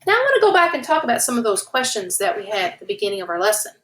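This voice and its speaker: A female teacher guides children through a picture-book lesson. Her voice sits fairly high and swings in a bright, sing-song melody as she asks questions. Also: big pitch swings, rapid-fire. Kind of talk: read-aloud storytelling lesson.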